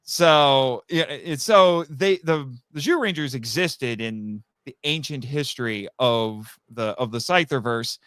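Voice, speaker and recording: A man's voice: mid-range voice, unhurried, few pauses, fairly bright tone, smooth, dry recording. A man talking casually as a podcast guest and audio drama writer, chatty and rambling, with frequent asides.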